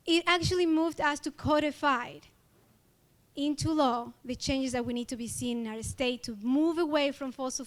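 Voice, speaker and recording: A woman from Ecuador with an Ecuadorian Spanish accent, giving an earnest, grateful award acceptance speech with pauses and fillers. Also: high voice, fairly bright tone. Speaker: award honoree.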